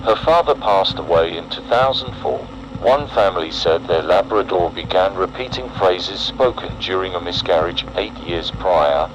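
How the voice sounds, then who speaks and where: Male narrator, low register, solemn and deliberate horror PSA read over clearly audible noise. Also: highs cut off. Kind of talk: scripted analog horror emergency-broadcast narration.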